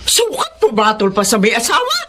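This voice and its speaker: A woman's voice: on the high side, huge pitch swings, brisk, background noise. An outraged older mother. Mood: indignant. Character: indignant middle-aged mother-in-law. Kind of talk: radio drama acting. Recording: music bed behind voice.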